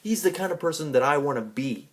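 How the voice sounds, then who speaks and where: A man hosting a video blog, speaking unscripted and earnestly in a halting way, often repeating himself. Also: fairly high pitch, lively intonation. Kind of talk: unscripted personal fan tribute monologue.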